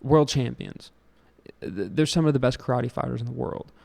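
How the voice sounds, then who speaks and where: A man's voice: mid-range voice, moderate pace, gravelly, a little mellow. A young American man hosting his own show, rambling casually with lots of fillers, restarts and swearing. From United States. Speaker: show host. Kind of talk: casual solo rant.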